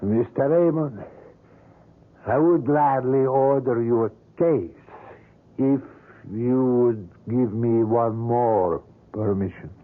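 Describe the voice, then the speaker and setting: An actor playing an obsessive older male sculptor in a vintage radio drama, speaking in a smooth, courtly, persuasive way. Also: medium pitch, slow, natural voice. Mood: courtly, insistent. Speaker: sculptor character. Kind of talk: 1970s radio drama acting. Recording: tinny lo-fi old radio broadcast.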